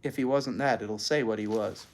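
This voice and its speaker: A host reading a children's book aloud in an animated, folksy way, pausing for chatty explanations. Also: medium pitch. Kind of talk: storybook read-aloud with chatty asides.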